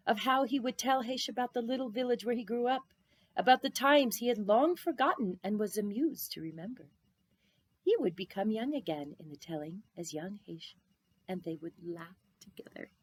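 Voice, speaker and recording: A woman reading a children's picture book aloud expressively, drawing out words and dropping into hushed phrases for drama. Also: medium pitch, moderate pace, dry recording. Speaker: storybook reader.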